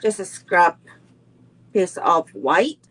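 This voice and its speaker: A woman's voice: on the low side, expressive, takes it slowly, slightly rough. A middle-aged woman teaching a live online card making class, walking through each step unhurriedly and greeting viewers between steps.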